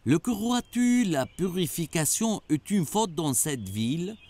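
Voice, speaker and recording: A man playing an earnest, troubled townsman in an animated prophet story, with a dramatic, emphatic delivery. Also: on the high side, slow pace. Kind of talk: animated religious story acting.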